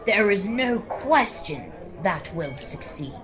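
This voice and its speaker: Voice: medium pitch, big pitch swings, slow, a crowd in the background. A young performer playing a proud, eager dwarf adventurer in a radio-style fantasy serial, with grandiose, formal speech. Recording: video-call recording with background voices.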